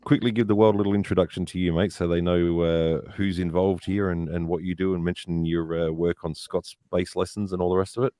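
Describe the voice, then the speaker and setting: Male stream host, low and warm, dry close sound, casual chatter with fillers. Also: level tone, brisk, few pauses, no room sound. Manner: chatty, says "mate" and "uh". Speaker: livestream host. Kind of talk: hosting a live stream.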